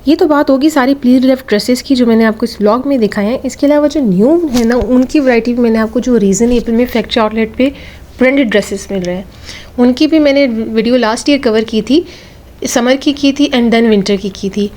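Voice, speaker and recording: A woman's voice: a little high, a little fast, warm tone. A woman with a Pakistani accent talking casually as a vlogger, mixing in English phrases and repeating herself for emphasis, her voice slightly off from a sore throat. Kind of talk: casual vlog commentary with English phrases mixed in.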